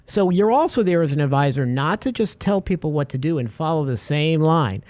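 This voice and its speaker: Lively, animated man hosting radio talk. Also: a little high, brisk, dry recording. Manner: animated storyteller, acts out little scenes. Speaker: radio show host. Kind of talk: casual talk-radio chat.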